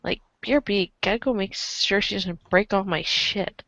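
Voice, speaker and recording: A woman acting a cool, deadpan, sarcastic teenage boy in a webcomic readers' theater. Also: medium pitch, normal speed, no echo, close to an everyday voice. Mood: dry, sarcastic.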